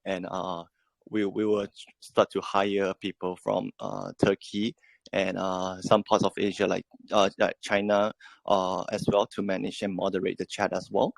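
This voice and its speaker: A man whose first language is Japanese, answering questions in an online AMA as a project team member, halting and full of fillers. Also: low voice, level tone, vocal fry, no room sound. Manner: halting, many fillers and restarts.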